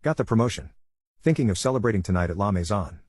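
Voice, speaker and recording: A man voicing a measured, restrained, career-minded engineer husband in a narrated story. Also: lowish voice, animated, darkish tone, slightly rough, no room sound, clean studio sound. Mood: measured, reserved.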